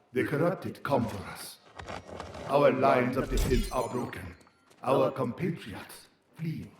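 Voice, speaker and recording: An actor playing a besieged soldier giving a grave, formal last account in a video game, solemn and resigned. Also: male voice, medium pitch, roomy sound, background noise, natural voice. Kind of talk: dramatic game log narration.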